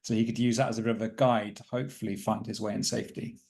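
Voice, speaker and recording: A man's voice: on the low side, flat delivery, rapid-fire, creaky, darkish tone. Wordy man narrating a tabletop game. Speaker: tabletop roleplaying game player. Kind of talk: improvised story narration at a game session.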